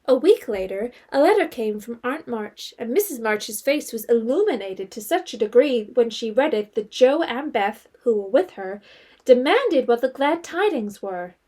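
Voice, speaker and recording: An actress narrating a period novel in an audio drama as a wry, composed storyteller. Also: female voice, on the high side, lively intonation, moderate pace, a little mellow.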